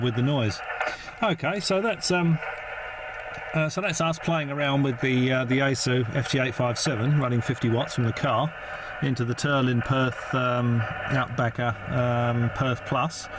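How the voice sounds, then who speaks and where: Casual male vlogger, mid-pitched, hesitant and unscripted, very noisy in-vehicle recording. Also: steady tone, moderate pace. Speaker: amateur radio operator presenting a video. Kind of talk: casual vlog narration. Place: inside a parked four-wheel drive in the outback. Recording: very noisy hand-held in-car recording, radio static. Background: radio crackle and vehicle ambience.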